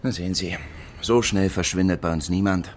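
A man's voice: low-pitched, fairly even intonation, fairly quick, slight background noise. An actor playing a folksy East German policeman in a radio drama, casual and patronising in his official manner. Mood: breezy.

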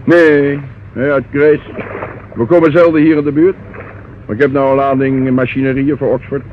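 An actor playing a shifty barge skipper in a radio drama, talking in an offhand, colloquial way. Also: male voice, mid-range voice, level tone, moderate pace, narrow, tinny audio, lightly acted. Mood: casual. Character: shifty male barge skipper, casually feigning innocence. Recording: thin, noisy old radio recording.

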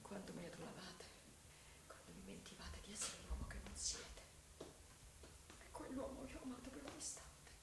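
A woman's voice: on the low side, huge pitch swings, moderate pace, gravelly, pauses often, exaggerated voice. An actress playing a proud, wronged young woman, emotional and accusing, in a theatrical radio drama. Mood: bitter, sorrowful. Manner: emotional, swinging between hushed and outraged. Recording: distant, roomy radio play with faint noise.

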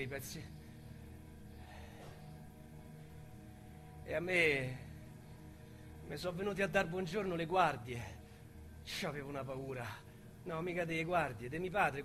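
An actor playing a rough young petty criminal with a Roman accent, telling his confession in colloquial, halting radio-drama monologue. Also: fairly high pitch, a bit bright, lightly acted. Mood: subdued, bitter. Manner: streetwise, vivid, halting.

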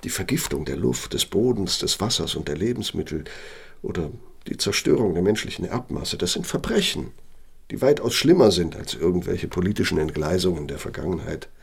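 An actor in a German radio play playing an elderly, self-justifying architect with a gravelly, husky voice and a measured, lecturing manner. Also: male voice, low-pitched, sing-song, heavily acted. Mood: self-important. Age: older.

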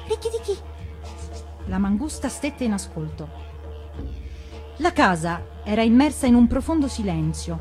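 A woman's voice: fairly high pitch, warm tone. Dramatic woman storyteller over music. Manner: dramatic, deliberate. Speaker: reader of fables on radio. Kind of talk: expressive scripted storybook narration.

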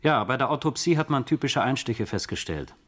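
Brisk, lively probing detective. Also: male voice, mid-range voice. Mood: businesslike, probing. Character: brisk, probing police detective questioning a witness. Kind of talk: German radio crime drama acting.

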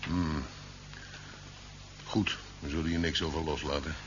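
An actor playing an eager, blustering small-town sheriff in a 1970s radio play, deferential to rank. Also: male voice, rumbling low, fairly even intonation, a little slow. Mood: subdued, cooperative. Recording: muffled, faintly noisy 1970s radio recording.

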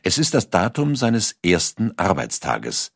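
A man's voice: low-pitched, huge pitch swings, measured pace, creaky, slightly rough, no echo, clean studio sound. A man reads scripted documentary narration as an audiobook narrator.

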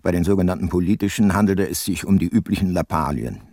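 A man's voice: deep voice, fast talker, warm tone, dry recording. A man acting as an older detective who narrates his own case in a dry, ironic way in a radio play. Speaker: first-person narrator.

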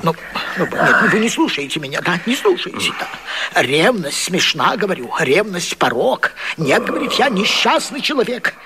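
An actor playing a flustered, pompous gentleman in a radio comedy, agitated, stammering and pleading in indignant bursts. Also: high voice, big pitch swings, normal speed, noisy background. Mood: frantic, confessing. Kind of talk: classic radio comedy vaudeville acting.

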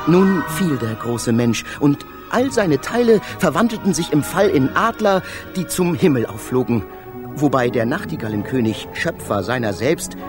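An actor playing an adventurous, hot-tempered poet, delivering theatrical literary monologue in a radio play. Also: male voice, medium pitch. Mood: wondering, narrating. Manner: theatrical, exclamatory, shifting from hushed to shouting. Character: adventurous, hot-tempered male poet wandering among fantastic birds.

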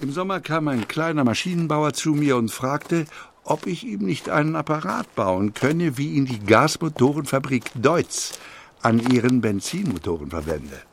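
An actor voicing a male industrialist who recalls his workshop years in a measured, formal memoir reading for an audio documentary. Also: mid-range voice, sing-song, gravelly, a little hiss or hum. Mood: measured, matter-of-fact.

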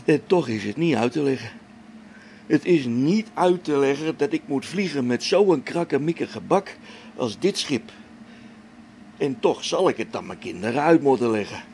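A man's voice: mid-range voice, animated, normal speed, a little mellow, a little hiss or hum, natural voice. An actor playing a grumbling old-school test pilot and father talking to himself in a spaceship radio drama. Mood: muttering, amused. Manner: muttering to himself, grumbling, chuckling.

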